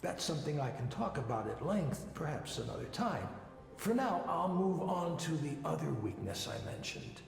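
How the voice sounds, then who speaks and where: An actor playing a confident male psychologist giving a measured, didactic conference talk in a visual novel game. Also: fairly high pitch, very animated, vocal fry. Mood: critical, assured. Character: confident male psychologist, former tech lead, lecturing an audience. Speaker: conference speaker. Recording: echoey hall reverb, faint music behind.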